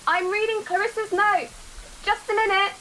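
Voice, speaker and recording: A woman's voice: very high voice, fairly even intonation, moderate pace, sharp tone, slight background noise, low-quality recording. A woman playing a patient, firm carer in an audio drama, speaking matter-of-factly. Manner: matter-of-fact. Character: patient, firm female carer of an old man.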